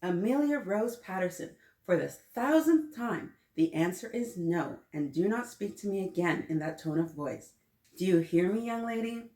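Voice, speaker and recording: A woman's voice: on the low side, big pitch swings, a little slow, dark tone. Woman doing a firm mom, stern and scolding, echoey room. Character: firm, patient mother of young children.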